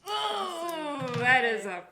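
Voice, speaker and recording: A woman's voice: high voice, big pitch swings, drawn-out words. A woman chatting casually as a player in a tabletop roleplaying game. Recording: echoey room with noticeable noise.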